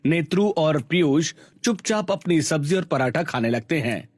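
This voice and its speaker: A man's voice: fairly high pitch, lively intonation, normal speed, no room sound. A man reading scripted narration for a story as its narrator.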